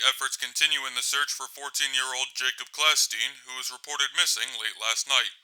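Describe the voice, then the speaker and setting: A man's voice: mid-range voice, pushed and bright, clear, resonant tone, low-quality recording. A man playing a composed news reporter, reading scripted news in a formal, articulate way.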